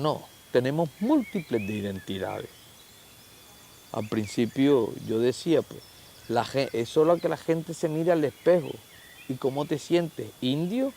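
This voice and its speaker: A man's voice: on the high side, darkish tone, slight background noise. A man from Lorica, speaking reflectively and asking rhetorical questions in an outdoor documentary interview.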